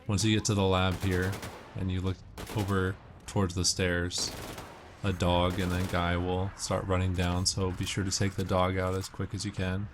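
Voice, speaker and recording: Man, very deep voice, explanatory gamer walkthrough, noisy track with game gunfire behind. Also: completely flat delivery, moderate pace. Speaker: gamer giving walkthrough tips. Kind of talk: casual gameplay commentary. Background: video game gunfire and ambience.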